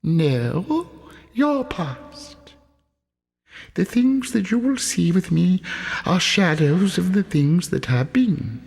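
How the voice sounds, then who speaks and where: Airy, high, ageless spirit. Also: male voice, very animated, slow, creaky, darkish tone, breathy edge, in a large hall, heavily acted. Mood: gentle, ethereal. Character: gentle, ageless childlike old spirit.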